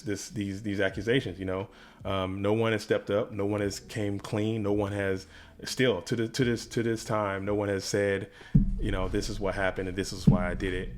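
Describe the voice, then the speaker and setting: A man giving an unscripted personal statement to his online community, circling back over phrases with repeated restarts and informal slang. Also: fairly low pitch. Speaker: YouTuber making videos and interviews about a card game.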